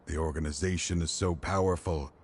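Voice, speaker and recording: Deep, grave older game antagonist. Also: male voice, steady tone, breathy edge. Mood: resolute confession. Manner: grave, deliberate, dramatic. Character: grieving, resolute middle-aged father turned scheming antagonist. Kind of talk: video game voice acting.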